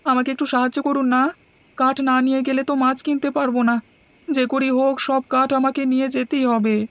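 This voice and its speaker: A woman's voice: sits high, level tone, moderate pace, no room sound. A woman voicing a meek, hardworking young brother in a cartoon, speaking politely and submissively. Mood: worried. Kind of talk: cartoon dubbing.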